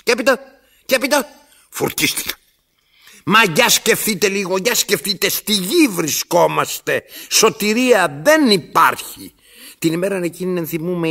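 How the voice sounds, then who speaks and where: An actor playing an imperious, pompous old tyrant in a radio play, declaiming with self-interrupting asides in a gravelly voice. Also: male voice, a little high, sharp tone, heavily acted. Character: imperious, theatrical old male tyrant telling his story. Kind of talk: radio theatre acting.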